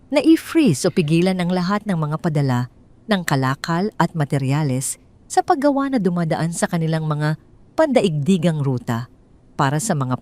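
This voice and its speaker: A Filipino woman with a slightly low voice narrates a scripted drama. She delivers it as a storyteller, telling the tale in the third person. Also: dark tone.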